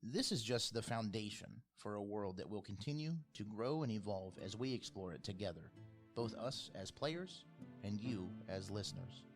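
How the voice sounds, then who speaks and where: A man's voice: on the low side, expressive, normal speed, soft background music. A man narrating and hosting a tabletop fantasy game as game master. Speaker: game master and podcast host.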